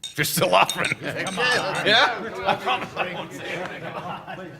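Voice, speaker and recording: A needling party guest. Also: male voice, high voice, expressive, a little slow, long reverb tail, crowd noise. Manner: sarcastic, needling, laughing between lines. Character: brash boozy male government insider at a party. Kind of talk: radio play acting.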